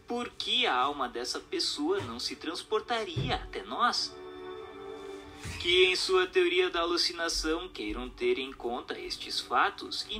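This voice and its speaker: Male Brazilian narrator for didactic scripted reading; crisp, bright tone with lively intonation. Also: fairly high pitch. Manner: formal, didactic, expository. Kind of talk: scripted narration, reading a spiritist text aloud.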